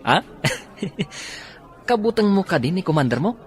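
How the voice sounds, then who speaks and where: Casting: an excitable Ilocano pal, high swooping voice, radio drama. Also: male voice, takes it slowly, exaggerated voice. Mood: jokey, animated. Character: excitable, joking male buddy.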